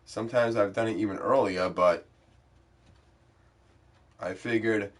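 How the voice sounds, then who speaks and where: A man's voice: on the low side, steady tone, a little slow. Congested man chatting about a game stream. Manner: chatty, loose, many fillers. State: congested from a cold. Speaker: gaming vlogger. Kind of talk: casual rambling intro to a stream.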